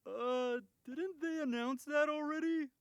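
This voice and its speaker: A man's voice: extremely high pitch, measured pace, a bit bright, no echo, clean studio sound, heavily acted. A man playing an exasperated, complaining young schoolboy in a visual novel game. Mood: indignant. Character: grumbling young schoolboy who loves horror movies.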